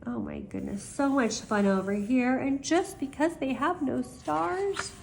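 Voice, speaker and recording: Older female storyteller for children's books, unhurried, fairly high voice, expressive emphasis. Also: creaky, a little hiss or hum. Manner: deliberate, animated emphasis with asides to the listener. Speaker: storyteller reading aloud to children.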